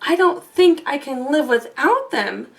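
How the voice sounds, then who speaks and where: A woman's voice: high-pitched, expressive, measured pace, creaky, a bit bright, recorded from a distance, full character voice. A woman voicing a brave, good-hearted young girl in a storybook, earnest and plucky.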